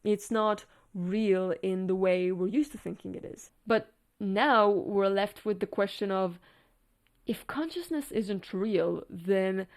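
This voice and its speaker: A woman giving scripted, analytical narration as a video essayist, explaining step by step with rhetorical questions and deliberate pauses. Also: medium pitch, a little mellow.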